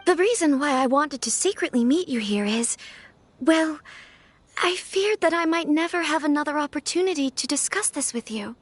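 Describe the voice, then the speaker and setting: A refined young noblewoman in an anime game. Her voice is high and girlish, with formal, measured phrasing. She comes across as polite and sincere. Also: animated. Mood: earnest pleading.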